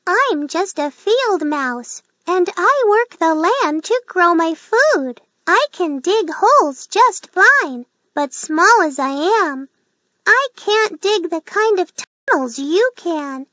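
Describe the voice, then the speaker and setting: A squeaky little field mouse, voiced by a woman, talks with a bright, sharp tone and lively swoops of pitch. He is a polite, chatty farmer who makes his case courteously in a storybook read-aloud. The recording is dry and a bit dull. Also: slow pace, dry recording, heavily acted. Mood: friendly persuasive. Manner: courteous, persuasive, talkative.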